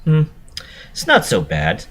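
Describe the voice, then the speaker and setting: Male reader as brash young pegasus mare, pitched up from his own voice, boastful and offhand, storybook reading with background noise. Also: huge pitch swings, slow, fairly bright tone, heavily acted. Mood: proud, boastful. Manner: boastful, casually dismissive. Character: brash, cocky young female pegasus pony, competitive.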